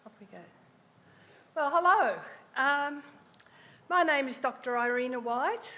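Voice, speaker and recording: A woman presenter opening a pitch at a live event, speaking loudly and briskly. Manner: loud, brisk. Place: event hall.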